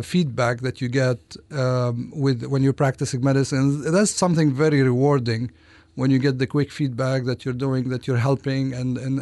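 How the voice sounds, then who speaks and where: Male doctor from Lebanon, studio interview guest, hesitant phrasing with false starts, mid-range pitch, dry close sound. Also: darkish tone, no echo. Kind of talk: interview answers. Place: radio studio.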